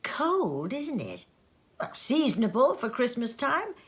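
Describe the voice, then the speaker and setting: A wealthy, self-important storybook businessman, put on by a woman reader. He makes brisk, genteel small talk in an offhand way. The delivery has very varied intonation. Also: on the high side, huge pitch swings, pitched above the performer's own voice, full character voice. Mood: brisk, indifferent. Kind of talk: storybook character reading.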